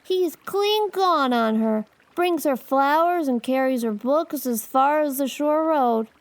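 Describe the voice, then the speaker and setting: A woman's voice: high voice, darkish tone, no room sound. A woman voicing a talkative eight-year-old boy in an audiobook, earnest, mischievous and curious, with childlike, slangy speech. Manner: childlike chatter with slangy, ungrammatical wording. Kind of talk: dramatized audiobook character acting.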